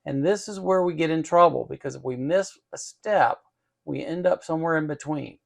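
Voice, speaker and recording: A man's voice: on the high side, normal speed, gravelly. A man giving an informal technical code walkthrough, methodical and repeating phrases for emphasis. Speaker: electronics channel host and programmer.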